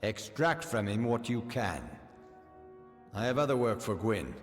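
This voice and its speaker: An actor playing a proud, commanding army officer in a fantasy video game, with an authoritative, blustering manner. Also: male voice, fairly low pitch, expressive, measured pace. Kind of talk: video game character acting. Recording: long reverb, music behind.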